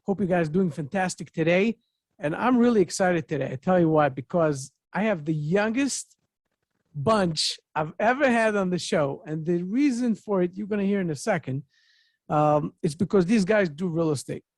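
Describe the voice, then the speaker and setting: Middle aged guy hosting a podcast, high-ish voice, super dry close mic, excitable and rambly. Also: moderate pace, no echo. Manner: excitable, repetitive, non-native phrasing. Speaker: podcast host. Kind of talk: enthusiastic interview chat. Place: home office with bookshelves. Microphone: studio mic on boom arm.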